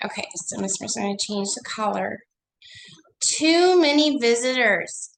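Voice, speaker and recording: A woman's voice: fairly high pitch, lively intonation, moderate pace, creaky, noisy background. A woman teacher with a cold, her voice nasal and fading, reading a story aloud to children and asking them questions in a chatty, coaxing way during an online lesson.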